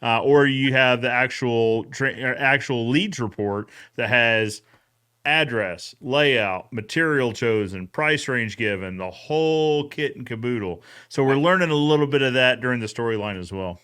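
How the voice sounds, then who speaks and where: A man's voice: mid-range voice, normal speed, bright, forceful tone, clean tone. A man co-hosting a casual recap chat, often retelling conversations by quoting both sides. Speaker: podcast co-host.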